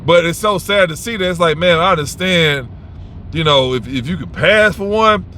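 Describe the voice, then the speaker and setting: A man's voice: fairly high pitch, moderate pace, pushed and bright, a little hiss or hum. A man giving advice in a casual, unscripted monologue, blunt and rambling, swearing freely and restarting his sentences.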